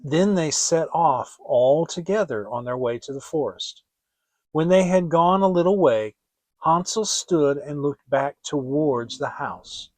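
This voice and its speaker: A man narrating a fairy tale as a dramatic reading, in a theatrical, deliberate storytelling manner. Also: a little high, lively intonation, darkish tone, no echo. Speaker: audiobook reader.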